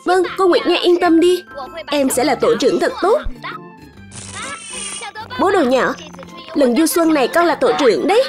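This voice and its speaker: A woman's voice: high-pitched, expressive, normal speed, long pauses, faint music behind. A woman voicing an earnest, insistent little boy who is proud to lead, in a dubbed children's cartoon. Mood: eager, proud. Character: earnest, dutiful little boy, proud young group leader.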